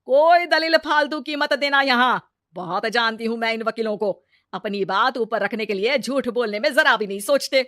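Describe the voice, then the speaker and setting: Sharp-tongued Indian mother-in-law played by a woman: high, dry studio sound, melodramatic taunts for a comic moral story. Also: moderate pace, dry recording. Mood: scolding. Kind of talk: exaggerated comedy story acting.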